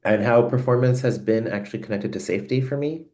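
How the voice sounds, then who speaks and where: A man hosting a reflective conversation over a video call, speaking in an earnest, searching and halting way. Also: medium pitch, dark tone. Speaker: host.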